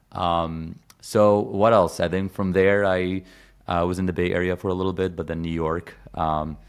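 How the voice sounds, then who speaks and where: A man in his thirties from Egypt, a podcast guest talking casually with lots of fillers and restarts. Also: low voice. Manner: rambling, many fillers and restarts. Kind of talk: casual conversation.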